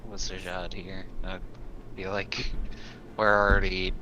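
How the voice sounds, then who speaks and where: A man's voice: deep voice, monotone, takes it slowly, sharp tone, slight background noise. A man talking casually and tentatively as a player in a tabletop roleplaying game. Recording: dull lo-fi audio, music behind.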